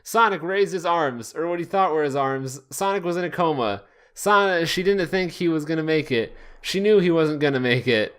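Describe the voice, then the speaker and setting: A man's voice: a little high, moderate pace, fairly bright tone, clear, resonant tone. A man hosting a podcast, reading fan fiction aloud in a casual comedic way and stumbling over the odd word. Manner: often breaks into laughter, stumbles over words. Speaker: podcast host.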